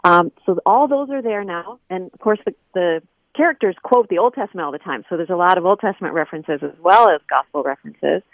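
A woman's voice: on the low side, fairly quick, no echo. Woman on a thin phone line. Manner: conversational, explanatory, informal. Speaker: guest, scholar and editor. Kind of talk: casual explanatory interview.

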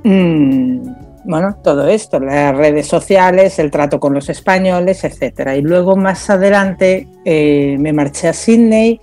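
A woman from Spain, a radio guest telling her own story in a relaxed way with many small pauses. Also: lowish voice, faint music behind. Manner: storytelling, hesitant fillers. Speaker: guest, social media community manager. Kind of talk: relaxed interview talk. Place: radio studio.

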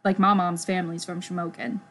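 A woman's voice: on the low side, level tone, brisk, a bit bright. Giggly young woman reading her notes. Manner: chatty, giggly, reads from notes with frequent "like". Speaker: podcast co-host. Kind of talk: casual chat.

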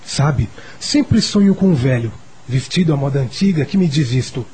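An actor playing a lonely, sickly rich schoolboy in a Brazilian radio drama, confiding in a childlike way, with a Brazilian accent and a husky voice. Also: male voice, mid-range voice, expressive, moderate pace, a little mellow, a little hiss or hum, natural voice. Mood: confiding, wistful.

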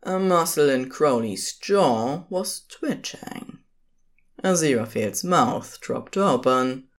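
Female narrator for audio fiction, very low register, lively intonation, measured storytelling.

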